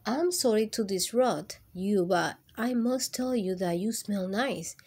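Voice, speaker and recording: A woman's voice: medium pitch, animated, measured pace, darkish tone, slightly rough. A woman voicing an eager, polite young male dog in a children's storybook, speaking in an earnest, declaring way. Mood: polite, curious.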